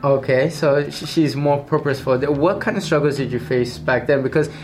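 A woman's voice: very deep voice, brisk, a little mellow. A woman interviewing a guest with casual questions, often restarting her sentences and prompting in a friendly way.

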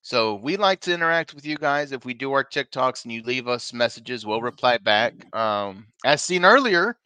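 A man's voice: medium pitch, animated, moderate pace, sharp tone, clean tone, no echo, rough recording. A man co-hosting a casual live wrestling podcast from a bar, upbeat and plugging the show between topics. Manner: upbeat, plugging social media, teasing. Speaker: podcast co-host.

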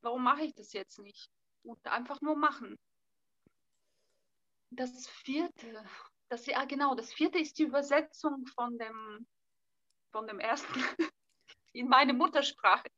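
Young woman, bright and tense tone, hesitant casual talk. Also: sits high, takes it slowly, pauses often, no room sound, dull audio. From Bulgaria. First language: Bulgarian. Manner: hesitant, thinking aloud with fillers. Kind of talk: casual conversation.

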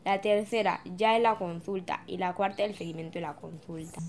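A woman's voice: fairly low pitch, brisk, a little mellow, slight background noise, close to an everyday voice. A woman playing an informed young community leader, matter-of-fact, in a scripted radio drama.

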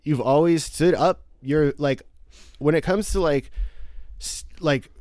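A male podcast guest with a fairly high voice who talks in a casual, reflective way. He swears freely and keeps checking in with "you know what I mean?". The recording is dry and close. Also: slow, a little mellow, dry recording.

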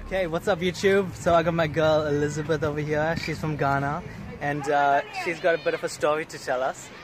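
A man's voice: fairly high pitch, steady tone, brisk, over music. A man hosting a casual street interview, eagerly agreeing and affirming his guest. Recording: noisy street crowd behind. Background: crowd and traffic.

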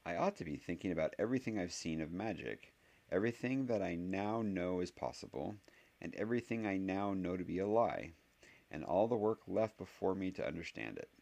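A man voicing a clever, curious young boy wizard who talks quickly and analytically. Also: deep voice, animated, gravelly, darkish tone. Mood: earnest.

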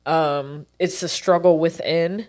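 A woman's voice: low-pitched, fairly even intonation, slow pace, darkish tone. Young female co-host, congested, blunt and profane. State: stuffy nose. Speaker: podcast co-host. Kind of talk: casual chat.

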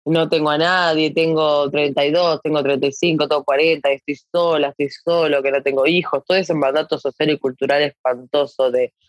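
A woman in her thirties speaks as a guest in a casual podcast chat, with a Rioplatense accent. Her delivery is expressive and colloquial. The sound is very dry, with no room echo. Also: low-pitched, fairly quick, few pauses. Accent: Rioplatense Spanish. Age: 30s. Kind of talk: casual conversation.

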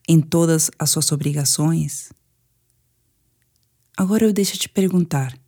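A woman's voice: low voice, mellow. A woman with a Brazilian Portuguese accent guides a sleep meditation in a soothing, instructive manner.

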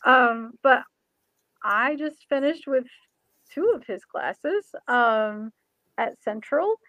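High-pitched woman hosting a chatty show. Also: animated, slow, sharp tone, no echo. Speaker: show host; ordained minister, former pastor. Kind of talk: casual interview chat.

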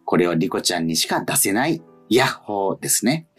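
Lively low-pitched man hosting radio. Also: a little fast, husky, soft background music. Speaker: radio show host. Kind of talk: reading listener mail and chatting on a radio show.

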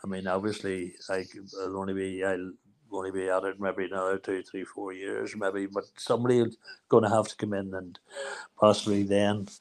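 Man, seventies, Northern Irish accent, slightly low pitch, plain-spoken, conversational interview guest, repeats himself. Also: little pitch movement, normal speed, poor audio. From Ireland. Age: 70s. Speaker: interview guest, charity founder.

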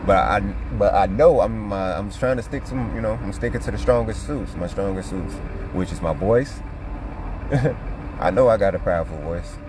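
A man's voice: fairly low pitch, moderate pace, background noise. A man, talking in a rambling, casual monologue full of slang and "you know". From Chicago. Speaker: podcast host. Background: music.

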